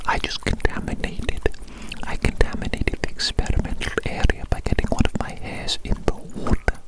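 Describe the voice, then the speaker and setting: A woman's voice: moderate pace, rough recording. A woman acts out an evil genius scientist entirely in whispers for an ASMR roleplay. The delivery is theatrical and grandiose, with words and phrases repeated obsessively, as if fixated on every experiment.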